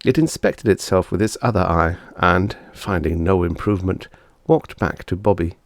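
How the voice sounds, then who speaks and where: A man's voice: low-pitched, normal speed, no room sound, studio quality. A man reading a short story aloud as scripted audiobook narration.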